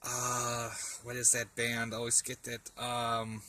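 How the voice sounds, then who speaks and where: A man giving a casual, unscripted show-and-tell as a vlogger outdoors, speaking in a halting way as he thinks aloud. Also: medium pitch, completely flat delivery, bright, forceful tone. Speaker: hobbyist vlogger. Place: outdoors in a yard in winter. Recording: outdoor camera audio with faint background noise.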